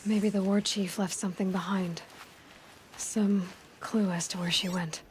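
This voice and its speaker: A woman's voice: medium pitch, steady tone, a little slow, slight background noise, natural voice. A woman as a determined young game huntress, earnest. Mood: hushed, musing. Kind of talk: video game voice acting.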